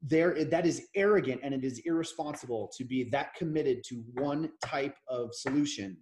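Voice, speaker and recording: A man's voice: mid-range voice, moderate pace, a little mellow, slight background noise. An American man in his thirties co-hosting a podcast, talking politics in an opinionated, rambling way with frequent restarts. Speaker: podcast co-host. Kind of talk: casual political chat.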